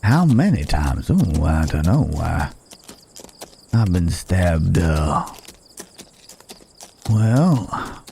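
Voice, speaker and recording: A man playing a rugged, wandering cowboy stranger in an intimate ASMR roleplay, with folksy old-West phrasing, a low gravelly voice and hesitant pauses, gently teasing and caring. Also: slight background noise, heavily acted. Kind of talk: ASMR roleplay, intimate acted monologue to the listener.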